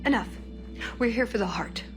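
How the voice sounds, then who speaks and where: A determined young elf voiced by an actress talks with dry wit and earnest purpose. Her voice sits on the high side, with faint music behind, as in a fantasy game cutscene. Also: expressive, normal speed, lightly acted. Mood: serious, guarded. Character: wry, determined young female elf operative. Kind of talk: video game dialogue acting.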